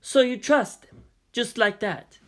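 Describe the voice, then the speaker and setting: A man's voice: very high voice, slow pace, pitched above the performer's own voice. A man voicing an uneasy, sceptical army sergeant in his forties, questioning and reflective, in a storybook reading. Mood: troubled.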